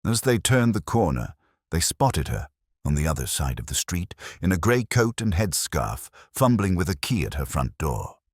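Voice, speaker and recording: Really low male narrator reading a mystery story, lively pitch, very dry sound. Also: normal speed, breathy edge, no echo. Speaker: audiobook narrator.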